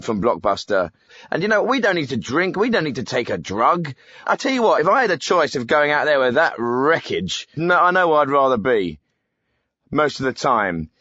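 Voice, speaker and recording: A smug, tetchy British phone-in host on a spoof radio show. His dry, close studio voice is fairly high and very animated, patronising and opinionated. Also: no room sound. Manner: opinionated, patronising, filler-strewn patter.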